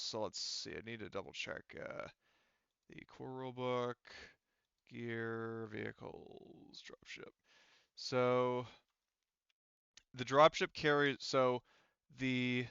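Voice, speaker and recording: A man acting as game master in a casual tabletop roleplaying session, halting and thinking aloud as he checks the rules. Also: medium pitch, pushed and bright, clear, resonant tone, dry recording, muffled recording.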